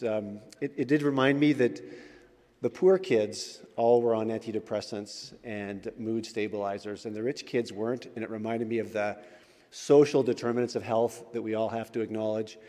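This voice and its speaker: A man of middle pitch giving a chatty, story-filled sermon full of little fillers. His voice carries a long echo through the church hall. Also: a little mellow. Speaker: church elder, preacher. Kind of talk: informal sermon with anecdotes.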